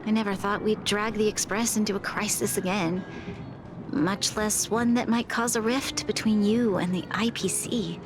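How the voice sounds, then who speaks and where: A woman's voice: medium pitch, steady tone, normal speed, lightly acted. An actress voicing an earnest, courteous young woman who leads a city in a video game, speaking sincerely. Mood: grateful. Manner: formal, sincere. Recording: game music behind the voice.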